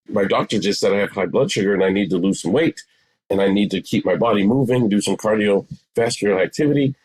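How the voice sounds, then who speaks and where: Man, forties, lowish husky voice, emphatic, conversational, repetitive for stress, podcast guest explaining. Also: darkish tone, poor audio. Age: 40s. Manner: emphatic, repeats phrases for stress.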